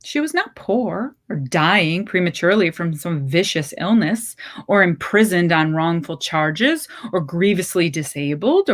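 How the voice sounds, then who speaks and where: A woman narrates a literary short story in a slightly low voice. She phrases deliberately, giving each clause its own measured space. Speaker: story reader.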